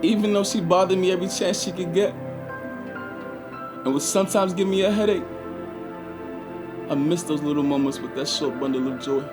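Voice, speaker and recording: An actor playing an anguished, regretful 21-year-old prisoner in a confessional stage monologue with dramatic pauses. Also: male voice, high voice, over music, natural voice. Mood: fond, wistful. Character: anguished 21-year-old male prisoner, former track star, bitter and regretful.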